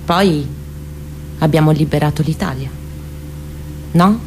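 A woman with a Roman accent performing a dramatic personal monologue, expressive and intimate, with deliberate phrasing. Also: sits low, a little hiss or hum, poor audio. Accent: central Italian, Roman. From near Rome, Italy. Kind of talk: dramatic autobiographical storytelling monologue.

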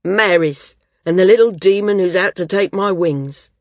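Woman playing a hearty, husky, frank man. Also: deep voice, moderate pace, no room sound, clean studio sound. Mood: hearty teasing. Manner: loud, frank, teasing. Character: booming, hearty, good-natured ailing man.

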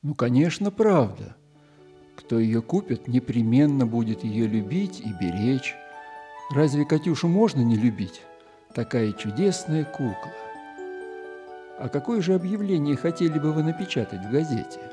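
An actor playing a kindly old newspaper editor in a children's audio play, speaking with gentle, deliberate, old-fashioned courtesy. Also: male voice, medium pitch, big pitch swings, soft background music, natural voice. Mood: kindly, admiring.

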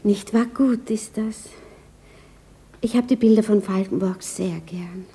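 Dark-voiced, shy widow. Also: female voice, mid-range voice, slightly rough. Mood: halting, nervous. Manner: hesitant, self-effacing, halting. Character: shy, eager young widow, provincial piano teacher. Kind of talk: naturalistic 1960s radio drama acting.

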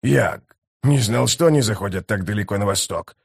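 A battle-worn sapper from an audiobook, acted out by a man, gruff and sardonic. His voice is husky and creaky, strained by pain, with big swings in pitch as he curses. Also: expressive, moderate pace, exaggerated voice. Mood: pained muttering. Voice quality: hoarse, raspy. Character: wounded male sapper soldier, gruff, sardonic, cursing. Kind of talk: audiobook character dialogue.

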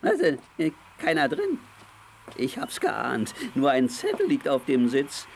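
Scrappy, boastful cartoon sailor, wildly swooping voice. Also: male voice, medium pitch, wildly swooping pitch, slow pace, gravelly, darkish tone, a little hiss or hum, heavily acted. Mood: feisty. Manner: boastful, muttering, exclamatory. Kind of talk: animated children's audio drama acting.

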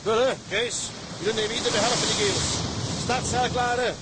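A Dutch man plays a determined young sea tug mate in a radio play. His voice sits high and he speaks in blunt, commanding orders. Heavy wind and boat noise surround him. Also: brisk, lightly acted. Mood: stern, commanding. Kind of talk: radio drama acting.

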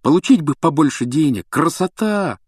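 A man voicing an eager, excitable thirteen-year-old boy in a storybook. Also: a little high, moderate pace, slightly rough, no echo, clean studio sound, pitched above the performer's own voice.

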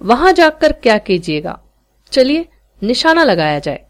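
A woman's voice: on the high side, very animated, a little slow. A woman narrator voicing a proud, self-reliant teenage boy street magician who speaks fluently and with confidence in a story. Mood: matter-of-fact. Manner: fluent, unhesitating, proud speech.

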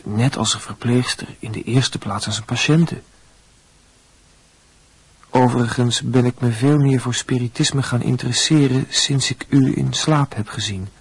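Radio drama: earnest young medical student, stiffly polite, slightly breathy husk, dry studio sound. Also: male voice, medium pitch, no echo, rough recording. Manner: formal, earnest. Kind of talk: letter read aloud in a radio play.

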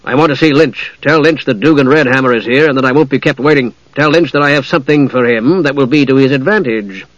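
A man voicing a gruff, proud, irritable dwarf rogue with a stiff, growling, muttering delivery in a fantasy storybook reading. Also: medium pitch, fast talker, hardly any pauses, fairly bright tone, dry recording, muffled recording, natural voice. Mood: commanding, irritated.

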